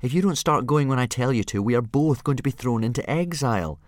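A man's voice: medium pitch, animated, fast, no echo, studio quality, pitched above the performer's own voice, lightly acted. A man voicing a frustrated boy trying to train his stubborn dragon. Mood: reasoning. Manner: pleading, exasperated.

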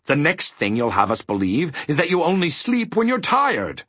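A self-assured mathematician wizard from a children's tale, put on by a male narrator. He is brisk and emphatic, hospitable yet impatient, with a lively swinging pitch and a slightly rough tone. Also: no room sound, heavily acted. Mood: casual, superior.

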